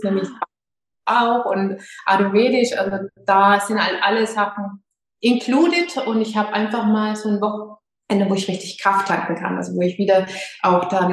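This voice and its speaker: Yoga lady, a bit nervous, lots of ums, roomy echo. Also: medium pitch. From Lower Franconia, Germany. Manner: hesitant, many fillers, nervous. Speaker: yoga teacher, guest. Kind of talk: casual interview. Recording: echoey room.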